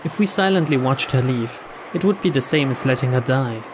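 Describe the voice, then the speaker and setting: A man's voice: medium pitch, animated, a little fast. A man giving a dramatic scripted narration of a visual novel as a let's play reader. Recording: clear background ambience noise.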